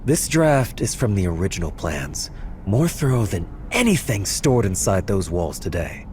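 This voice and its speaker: An actor playing a cunning, confident mastermind in a historical Chinese game drama, talking smoothly and persuasively. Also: male voice, low-pitched, big pitch swings, normal speed, a little mellow, slight background noise. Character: cunning, persuasive man masterminding a palace heist.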